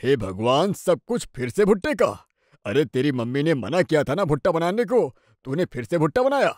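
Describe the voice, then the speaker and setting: A man acts a middle-aged family man in a cartoon moral tale. His fairly high voice swoops about, blunt and fussy, in a dry recording. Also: sing-song, normal speed, a little mellow, dry recording. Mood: indignant. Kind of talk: animated Hindi moral-story dubbing.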